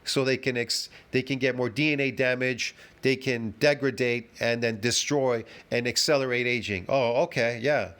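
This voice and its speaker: A man's voice: medium pitch, steady tone, moderate pace, hardly any pauses, a bit bright, clear, resonant tone. Man ranting through rhetorical questions. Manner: repetitive, emphatic, with frequent restarts and rhetorical questions. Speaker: fitness commentator. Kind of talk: ranting reaction commentary.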